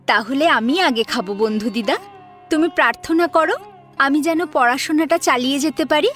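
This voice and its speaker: Woman playing an earnest high-voiced young college girl. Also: normal speed, a bit bright. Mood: hopeful, pleading. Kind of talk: Bengali audio drama acting. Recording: music under the voice. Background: sitar music.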